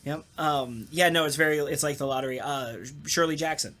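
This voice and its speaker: A man's voice: fairly high pitch, brisk, bright, forceful tone. A man who works as a voice actor, chatting casually on a podcast panel in a jokey, rambling, self-deprecating way. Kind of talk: casual chat about radio plays.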